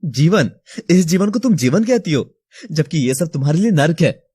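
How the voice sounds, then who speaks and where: A man's voice: fairly high pitch, animated, moderate pace, dark tone, husky, no room sound, studio quality. A man acting a remorseful young married man in an audio story, speaking in an anguished, imploring, dramatic way. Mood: earnest. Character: remorseful married young man pleading with his childhood love.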